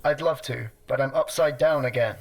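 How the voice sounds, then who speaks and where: An actor playing a fussy, put-upon computer mind stuck in a toaster in a sci-fi comedy radio play, dry, pedantic and exasperated. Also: male voice, medium pitch, moderate pace, lightly acted, rough recording. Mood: dry, nagging.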